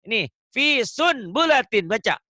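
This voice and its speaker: A man's voice: extremely high pitch, very animated, a little slow, sharp tone, no room sound, clean studio sound. A man teaching Quran recitation online, patiently drilling pronunciation and laughing often. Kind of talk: online tajwid lesson.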